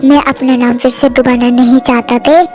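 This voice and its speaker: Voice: mid-range voice, flat delivery, moderate pace, music in the background, pitched below the performer's own voice, lightly acted. A young reader voicing a strict middle-aged father who orders his daughter around, in a commanding, scolding manner. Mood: stern. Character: stern controlling father, middle-aged man.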